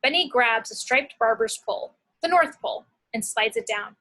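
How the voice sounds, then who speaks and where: A woman's voice: fairly high pitch, normal speed, sharp tone, poor audio. A woman narrating action descriptions from a script at an amateur table read.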